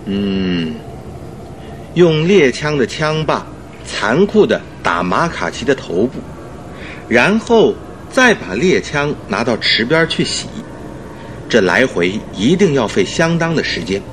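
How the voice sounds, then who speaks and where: A man playing a thoughtful, modest doctor and detective's companion in a Mandarin radio drama, pondering deliberately. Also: mid-range voice, very animated, fairly bright tone, slight background noise.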